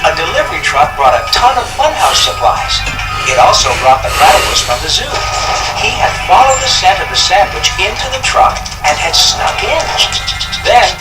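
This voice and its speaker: A man narrating a children's read-along storybook in an animated, scripted storytelling manner. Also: high voice, moderate pace, reverberant, far from the mic, low-quality recording. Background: music.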